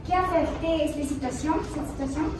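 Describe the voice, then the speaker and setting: A woman's voice: sits high, steady tone, fairly quick, close to an everyday voice. A woman playing a polite young TV street reporter in an amateur news sketch, phrasing carefully and a little hesitantly. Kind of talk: amateur classroom dramatisation of TV news. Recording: very echoey room, distant mic, loud noise.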